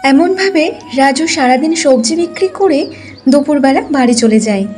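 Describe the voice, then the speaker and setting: A woman's voice: high-pitched, expressive, normal speed, music in the background. A woman narrating a scripted children's story as a storyteller.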